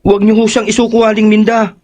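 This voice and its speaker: Man acting a high-voiced, imploring loyal friend. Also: monotone, fast, natural voice. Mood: pleading. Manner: deferential, imploring. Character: pleading, loyal young friend of a fugitive. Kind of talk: audio drama acting. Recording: dull, muffled and noisy recording.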